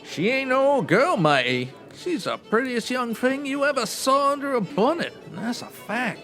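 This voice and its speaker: A man's voice: very high voice, very animated, normal speed, sharp tone, faint music behind, exaggerated voice. A man playing a friendly, chatty bartender with a Cockney accent in a comedy audio drama. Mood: gossipy, admiring. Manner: chummy, colloquial. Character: friendly Cockney bartender, male, chatty, protective of a local lady.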